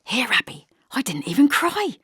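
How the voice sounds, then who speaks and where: A woman's voice: on the high side, wildly swooping pitch, normal speed, fairly bright tone, breathy edge, no echo, clean studio sound, pitched above the performer's own voice, exaggerated voice. A woman voicing a proud, chatty mother in an audiobook, lilting and half-whispered. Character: proud, chatty mother speaking to her daughter.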